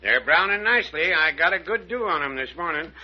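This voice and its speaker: An actor playing a folksy, good-humored older country doctor in old-time radio drama, with drawling rural turns of phrase. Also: male voice, a little high, expressive, a little fast. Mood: cheerful. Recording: dull, band-limited old radio recording.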